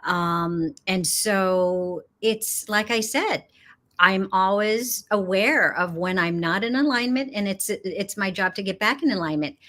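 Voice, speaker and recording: A middle-aged woman from Los Angeles, a yoga teacher speaking as an interview guest in an unhurried way, laughing often and pausing with frequent "um"s. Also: mid-range voice, fairly bright tone. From Los Angeles, United States. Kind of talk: casual interview chat.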